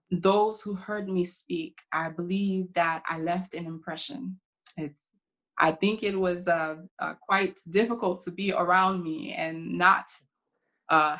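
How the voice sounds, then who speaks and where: A woman acting as a proud, pioneering congresswoman in a live historical reenactment. Her voice sits a little low and she speaks unhurriedly, reflecting in broken, deliberate phrases. The recording is slightly dull and lo-fi. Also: fairly bright tone. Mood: candid, proud. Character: Shirley Chisholm, proud determined pioneering congresswoman from Brooklyn. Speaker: historical reenactor taking audience questions. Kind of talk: in-character question-and-answer reenactment.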